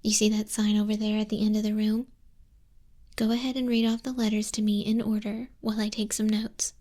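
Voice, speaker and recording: A woman playing an exacting female griffin flying instructor in a hushed, breathy, measured ASMR roleplay, close to a binaural microphone. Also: mid-range voice, flat delivery, lightly acted. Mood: businesslike, exacting. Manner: measured, unhurried, strict examiner tone. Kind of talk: soft-spoken, whispery ASMR roleplay.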